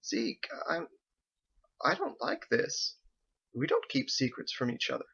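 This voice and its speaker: A man's voice: medium pitch, wildly swooping pitch, a little slow, gravelly, pushed and bright, breathy edge, dull audio, exaggerated voice. A man voicing a loving, worried wife in her sixties, affectionate and pleading, as a character in an audiobook. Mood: worried, hushed. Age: 60s. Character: loving, worried sixty-five-year-old wife, bustling and warm.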